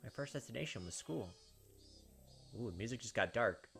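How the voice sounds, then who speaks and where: A man's voice: medium pitch, animated, moderate pace, gravelly, fairly bright tone. A man reading a visual novel's narration aloud as he plays, adding short side comments of his own. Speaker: gaming channel host doing a playthrough. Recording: game music behind the voice. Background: visual novel background music.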